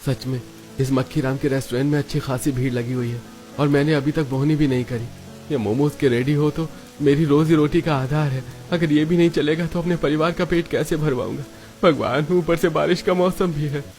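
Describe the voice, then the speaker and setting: A man plays a humble cartoon street vendor with a fairly high voice. He sounds earnest and pleading, an honest poor man, with music faintly behind him. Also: steady tone, a little fast, darkish tone, natural voice. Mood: worried. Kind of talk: Hindi cartoon story dialogue. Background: background music.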